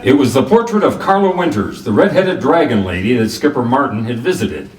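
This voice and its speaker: An actor playing a wry, hardboiled private detective, narrating a noir radio drama in a clipped way. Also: male voice, medium pitch, animated, fairly quick, over loud music, close to an everyday voice. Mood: cool, suspicious. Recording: roomy, distant mic, faint noise.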